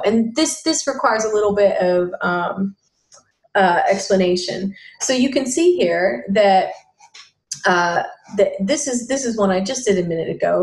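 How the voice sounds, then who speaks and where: A woman walks through a software tutorial while sharing her screen, her voice sitting at an ordinary middle pitch. She talks in a halting way, stopping and restarting with plenty of fillers. The recording has a roomy echo to it. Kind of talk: casual screen-share tutorial.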